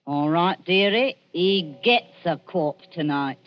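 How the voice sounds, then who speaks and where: An actress playing a sly, sharp-tongued old woman in a 1940s-style radio drama. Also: on the low side, animated, slow pace. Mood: wheedling, scheming. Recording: muffled old radio recording.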